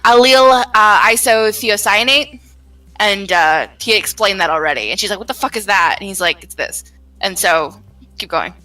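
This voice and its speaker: A woman's voice: mid-range voice, expressive, moderate pace, bright, forceful tone, distant mic, low-quality recording. A woman chatting casually on a group game stream as the game's developer.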